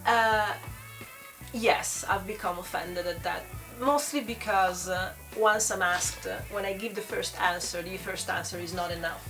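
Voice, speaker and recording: A woman with a non-British accent, speaking as a panellist in a reflective, measured and halting way. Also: medium pitch, a bit bright, soft background music, rough recording. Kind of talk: reflective informal discussion.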